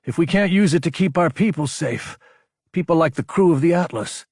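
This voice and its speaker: Guy narrating as young army officer, anguished and intense, insubordinate, audiobook style, slightly husky, dry sound. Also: mid-range voice, brisk, darkish tone, no room sound. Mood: bitter, angry. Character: young male military officer, fierce, anguished, self-doubting. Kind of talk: audiobook character acting.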